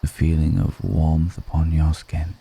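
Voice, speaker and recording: Man as a very deep, slow-speaking ancient vampire. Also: monotone, creaky, mellow, slightly rough, full character voice. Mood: weary, wistful. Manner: slow, deliberate, brooding, with long pauses. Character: ancient male vampire, world-weary, seductive, musing on mortality. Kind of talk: intimate ASMR roleplay monologue.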